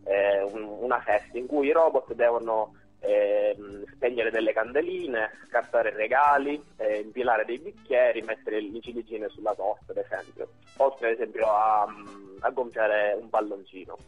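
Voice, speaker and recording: A young man explaining things haltingly in a phone interview. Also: lowish voice, husky. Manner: hesitant, halting. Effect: telephone. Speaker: interview guest, university robotics team member. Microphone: telephone. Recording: tinny phone line, music underneath.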